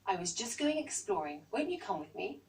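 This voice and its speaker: A woman's voice: medium pitch, very animated, fairly quick, a little mellow, far from the mic, low-quality recording. A woman with an English accent voicing a suave, inviting big cat in a storybook.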